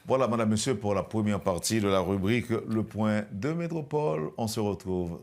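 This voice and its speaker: A man's voice: on the low side, moderate pace, creaky, darkish tone. A man with a Haitian accent hosting a broadcast interview in a courteous, formal manner. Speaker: television interview host.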